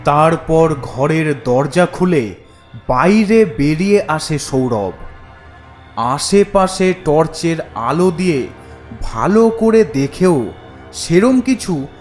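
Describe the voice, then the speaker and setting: Slow-paced male horror story narrator. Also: a little high, animated, soft background music.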